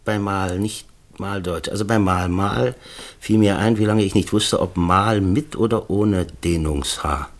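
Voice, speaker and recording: A middle-aged man with a low voice, lost in anxious thought. He speaks haltingly and circles back over his own words, in naturalistic radio play style. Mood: searching, rambling. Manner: musing, halting, repeating himself. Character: brooding, distracted middle-aged male screenwriter, anxious and fussy. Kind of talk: naturalistic radio drama acting.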